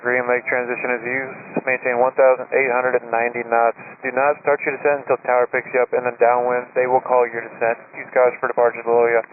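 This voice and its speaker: A man's voice: medium pitch, completely flat delivery, quick pace, hardly any pauses. A man working as an air traffic controller, giving clipped, directive instructions over an aviation radio. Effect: aviation radio transmission. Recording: tinny narrowband aviation radio with static. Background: clear radio noise.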